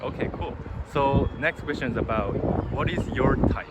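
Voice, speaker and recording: A man's voice: mid-range voice, moderate pace. A man whose first language is Japanese, politely asking people simple questions in a street interview outdoors. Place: busy city street outdoors. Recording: loud street traffic noise.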